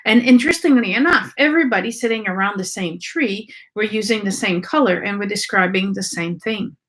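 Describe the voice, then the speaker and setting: Lady teaching a class, lots of ums and restarts, middle pitched voice. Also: a little fast, a bit bright, low-quality recording. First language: French. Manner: searching, many ums and restarts. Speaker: energy work teacher. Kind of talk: unscripted teaching talk.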